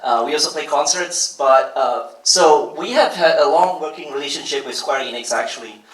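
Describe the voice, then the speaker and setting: A man's voice: on the high side, expressive, normal speed, a bit bright, far from the mic. A man from Malaysia with a Boston-tinged American accent, introducing himself on stage in a friendly, self-assured way. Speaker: music mix engineer presenting at a launch event. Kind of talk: stage presentation to an audience. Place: large event hall. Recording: echoey hall, voice from off-mic.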